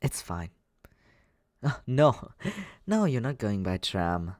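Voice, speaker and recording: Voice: mid-range voice, big pitch swings, slow, a little mellow, no echo, clean studio sound. An actor voicing a teasing but caring young companion in an intimate, conversational ASMR comfort roleplay, hesitant and reassuring. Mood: playfully insistent.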